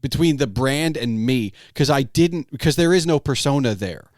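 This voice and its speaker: A man hosting a casual podcast conversation, talking in a halting way and often repeating words as he thinks. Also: mid-range voice, expressive, no echo, clean studio sound. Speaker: podcast host.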